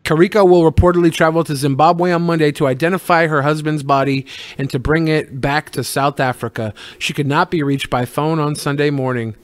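A man's voice: on the high side, fairly even intonation, brisk, no echo. A man hosting a podcast, casually reading news stories and riffing on them with irreverent, jokey swearing. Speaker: podcast host.

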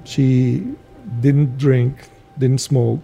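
An older man with a Syrian Arabic accent reflects earnestly on his youth. His voice is very dark and gravelly, with light music underneath. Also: mid-range voice, level tone, extremely slow. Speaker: interviewee, father. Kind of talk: interview recollection.

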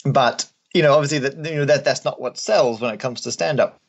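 A man's voice: medium pitch, brisk, creaky, lo-fi sound. A man with an Australian accent giving conversational, wry and jokey answers as an interview guest. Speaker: guest, stand-up comedian and mathematician.

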